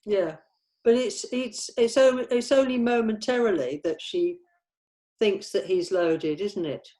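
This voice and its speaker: A woman's voice: mid-range voice, measured pace, warm tone. Woman questioning things in casual discussion. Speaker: participant in a script table read.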